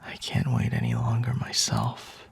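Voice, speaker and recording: A man acts out a possessive, seductive male vampire for an ASMR roleplay. He speaks in a slow, close whisper that is heavily breathy, with some gravelly creak. The manner is teasing and predatory. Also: mid-range voice, monotone, no room sound, full character voice. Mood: menacing, teasing.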